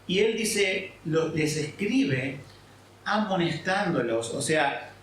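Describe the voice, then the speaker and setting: A man's voice: a little high, animated, takes it slowly, a bit bright, slight background noise. A man from Argentina, a pastor preaching a sermon and explaining scripture, often checking in with his listeners. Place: church hall. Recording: echoey hall, mic at a distance.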